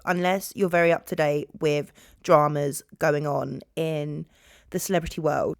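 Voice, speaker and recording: A British woman in her twenties hosting a podcast solo, rambling casually to her viewers in an animated, chatty way. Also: lowish voice, dry recording, clean studio sound. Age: 20s. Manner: chatty, animated, jumps between topics. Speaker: podcast host.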